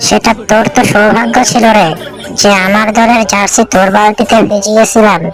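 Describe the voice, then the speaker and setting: A woman's voice: medium pitch, flat delivery, fairly quick, music in the background, natural voice. A woman voicing a jealous, quarrelsome fox wife in a cartoon, sarcastic and accusing. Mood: scolding. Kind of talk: Bengali cartoon voice acting.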